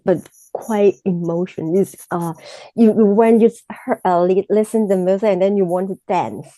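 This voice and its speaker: Halting Cantonese-accented woman, mellow voice. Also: medium pitch, no echo, low-quality recording. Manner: halting, searching for words in simple English. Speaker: exam candidate. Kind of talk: mock speaking exam answers.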